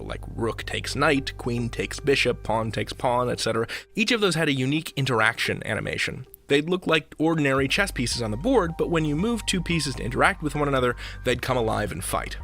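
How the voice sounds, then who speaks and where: A man's voice: mid-range voice, bright, forceful tone. A man hosting a podcast in a scripted, conversational storytelling style, playful and theatrical in his emphasis. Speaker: podcast host. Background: music bed.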